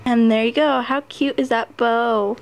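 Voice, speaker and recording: A woman's voice: on the high side, fairly even intonation, measured pace, creaky. A woman chatting casually through a nail polish review, full of ums and little laughs. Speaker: nail art vlogger. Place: home table, hands on camera.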